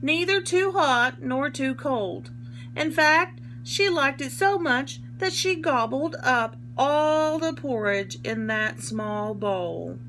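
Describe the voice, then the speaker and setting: A woman reading a picture book aloud to young children like a teacher, expressively, pausing to explain words in simple terms. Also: high voice, animated, fairly bright tone, slight background noise, rough recording.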